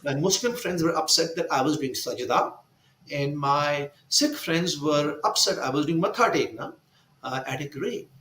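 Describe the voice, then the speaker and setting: A man's voice: on the high side, animated, normal speed, fairly bright tone, roomy sound, a little hiss or hum. A man telling a personal story in a panel discussion, emphatic and fond of rhetorical asides. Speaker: guest speaker.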